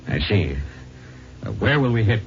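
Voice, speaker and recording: A man's voice: lowish voice, very animated, moderate pace. An American man playing a weary, resolute spaceship captain in 1950s radio drama, clipped and commanding. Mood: grim, resigned. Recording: muffled, noisy 1950s radio recording.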